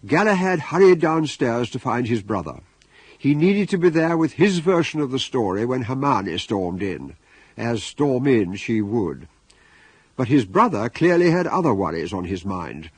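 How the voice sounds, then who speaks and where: A man's voice: medium pitch, big pitch swings, measured pace, a little mellow, breathy edge, dry recording. A man reading scripted narration for a radio drama.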